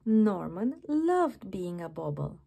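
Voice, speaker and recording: Animated, unhurried woman reading a storybook. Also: medium pitch, gravelly, husky. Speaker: children's book reader. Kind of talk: expressive bedtime story read-aloud.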